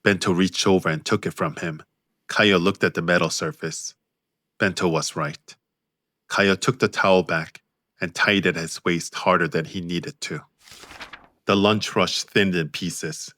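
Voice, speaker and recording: A man narrating his own audiobook in a measured, understated way. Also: low voice, steady tone, pushed and bright, no echo, studio quality. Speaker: author and narrator.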